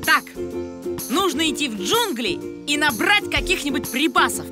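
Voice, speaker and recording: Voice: sits high, very animated, normal speed. A performer playing a responsible young animal who leads friends in a children's cartoon. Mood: instructing. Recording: loud music behind the voice.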